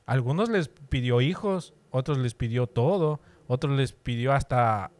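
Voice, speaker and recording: A man's voice: mid-range voice, measured pace, darkish tone, no room sound. Man, from Ecuador, dry studio-like sound, casual religious talk, explanatory, emphatic repetition. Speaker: podcast co-host.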